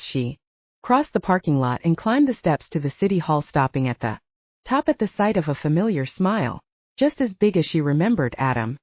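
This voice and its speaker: Low, mellow woman narrating romantic fiction. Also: no room sound, highs cut off. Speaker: audiobook narrator.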